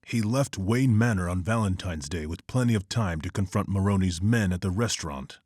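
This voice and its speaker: A brooding vigilante detective speaks very low and close, with no room around him. He is terse, serious and measured, in motion comic drama. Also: darkish tone, no echo. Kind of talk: scripted motion comic acting.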